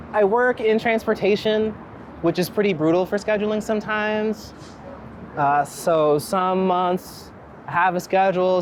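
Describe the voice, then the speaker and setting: An American from Philadelphia chatting casually in a wry, rambling, thoughtful way while out on a walk. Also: medium pitch. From Philadelphia, United States. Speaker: street photographer being interviewed. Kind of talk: casual chat, walking interview. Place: outdoors on city streets. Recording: outdoor city street noise, traffic. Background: street ambience, passing vehicles.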